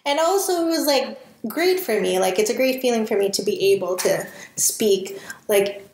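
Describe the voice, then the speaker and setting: A young Canadian woman co-hosting a podcast, telling stories in a chatty, animated way. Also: on the high side, moderate pace, roomy sound. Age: young adult. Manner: chatty, animated storytelling with laughs. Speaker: podcast co-host. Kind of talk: casual chat.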